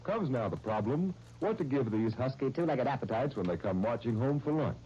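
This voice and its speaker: A man's voice: mid-range voice, expressive, fairly quick, mellow, breathy edge. A man announcing and narrating in a polished, emphatic 1930s radio style. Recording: dull 1930s radio broadcast.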